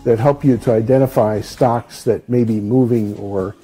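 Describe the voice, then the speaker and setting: Older man, very mellow dark tone, enthusiastic testimonial. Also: medium pitch, fairly even intonation, moderate pace, slightly rough, faint music behind. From Arizona. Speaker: student, retired engineer. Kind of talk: testimonial interview.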